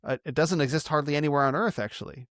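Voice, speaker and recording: A man's voice: on the high side, fast talker, fairly bright tone, no room sound, clean studio sound. An American man hosting a podcast, talking casually and thoughtfully, repeating words as he thinks. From United States. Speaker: podcast host. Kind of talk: casual conversation.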